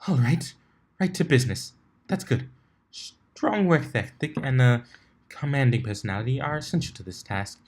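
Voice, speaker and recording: A man voicing a poised female unicorn pony with a polite, brisk and formal manner. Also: medium pitch, animated, darkish tone, lightly acted. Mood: poised, businesslike.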